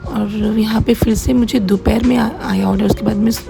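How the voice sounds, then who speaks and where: A middle-aged woman narrating a casual home-kitchen vlog in a plain, everyday way, her voice slightly hoarse as if under the weather. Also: mid-range voice, steady tone, normal speed. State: mildly unwell, voice a little hoarse. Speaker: home cook running a cloud kitchen, vlogger. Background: background music.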